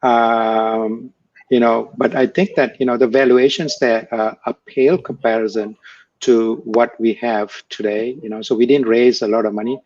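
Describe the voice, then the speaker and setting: A man speaks in a measured, halting way, dotting his answers with fillers. His voice sits at an ordinary pitch. The recording is dry and a little dull, like a close mic with narrow sound. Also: dry recording. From Malaysia. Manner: measured, hesitant with many fillers. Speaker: guest, former startup executive. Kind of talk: conversational interview answer.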